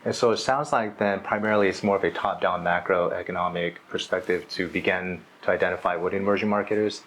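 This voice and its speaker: A man's voice: deep voice, fairly even intonation, quick pace, fairly bright tone, a little hiss or hum. A man hosting an interview as an investment specialist, polite and supportive, with many listening murmurs.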